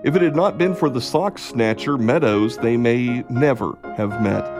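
A man narrates as a radio theatre announcer, in a scripted sign-off style. His voice sits at an average pitch, and music plays clearly behind him throughout.

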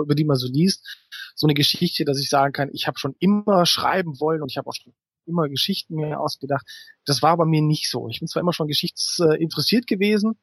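A middle-aged man talks as a guest in an interview, telling anecdotes conversationally. His voice is somewhat high for a man and sounds close and dry, free of room echo. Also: breathy edge.

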